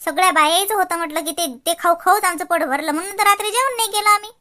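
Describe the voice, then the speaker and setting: A woman plays a cartoon daughter-in-law with a very high, girlish voice and a crisp, bright tone. She is chatty and slyly mocking, repeating phrases for effect in a rural Varhadi Marathi accent from Vidarbha. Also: fast. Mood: gleeful, teasing. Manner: mocking, repetitive, gossipy. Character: sarcastic, chatty young village daughter-in-law, busy housewife. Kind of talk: exaggerated cartoon comedy acting.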